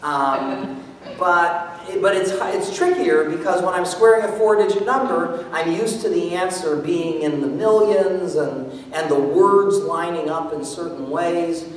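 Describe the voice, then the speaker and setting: A middle-aged American man giving a live talk on stage and taking audience questions, animated and jokey as he thinks aloud. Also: on the high side, measured pace. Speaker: guest speaker, mathematician performer. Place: theatre stage, indoors. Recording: echoey hall from far off, noisy.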